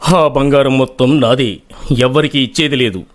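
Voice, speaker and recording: A man's voice: medium pitch, normal speed, lightly acted. A man playing a proud, greedy, stern village landlord in storybook dialogue. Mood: stubborn, dismissive.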